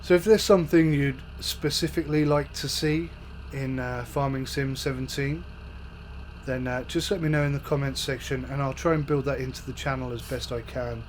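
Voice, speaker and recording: A man's voice: mid-range voice, normal speed. A man hosting a farming game let's-play, chatting to viewers as he plays, his voice a little off from a coming flu. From United Kingdom. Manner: relaxed, chatty, talks to viewers while playing. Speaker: gaming channel host. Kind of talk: casual let's-play commentary. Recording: faint game engine noise behind.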